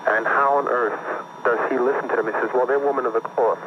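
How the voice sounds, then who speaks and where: A man's voice: medium pitch, steady tone, fast talker. A man calling a radio show, earnest and indignant as he lays out his complaint. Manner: earnest, indignant about politics. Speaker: caller. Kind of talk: call-in radio conversation. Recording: phone line on radio, hissy.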